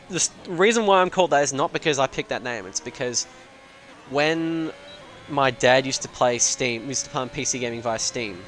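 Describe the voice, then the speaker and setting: Give me a man commentating a sim race live, chatty and self-deprecating, mid-pitched. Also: fairly bright tone, slight background noise. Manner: rambling, self-deprecating, swears casually. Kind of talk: casual live stream commentary.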